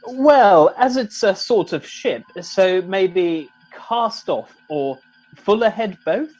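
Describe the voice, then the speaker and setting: A man's voice: sits high, lively intonation, slow, darkish tone, faint music behind, exaggerated voice. A man playing a mild, hesitant second-in-command with an upper-class English accent in a comic radio play. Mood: vague, mild. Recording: dull lo-fi recording.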